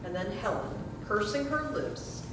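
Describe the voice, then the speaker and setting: Casting: female stage narrator, lively intonation, reading scripted text, heard from far away. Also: unhurried. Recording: echoey, distant, noisy room with rumble.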